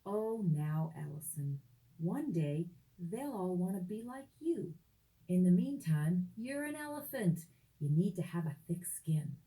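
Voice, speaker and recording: A woman playing a gentle mother elephant in a children's storybook recording, tender and reassuring. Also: on the low side, animated, unhurried, rough recording.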